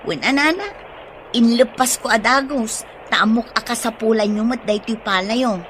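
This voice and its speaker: A woman's voice: fairly high pitch, moderate pace, fairly bright tone, a little hiss or hum, natural voice. A woman with an Ilocano accent playing a practical, industrious village wife in a radio drama. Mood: earnest.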